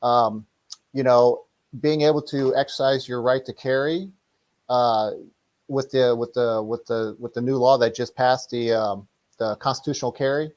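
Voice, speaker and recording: A middle-aged man with a mid-pitched voice talks as a martial arts instructor in a casual interview. He rambles and restarts often, explaining things in a conversational, hands-on way. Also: steady tone, moderate pace, darkish tone.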